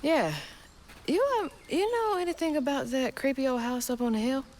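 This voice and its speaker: A woman's voice: high voice, expressive, moderate pace, darkish tone, a little hiss or hum. An actress playing a determined, polite young woman in a video game, speaking casually and plainly. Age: young adult. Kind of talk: video game character acting.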